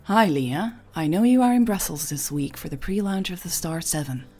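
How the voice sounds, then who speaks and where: A woman voicing a friendly, eager businessman with a brisk, polite manner in an audio story. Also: fairly low pitch, animated, warm tone, slightly rough, soft background music. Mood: eager, businesslike.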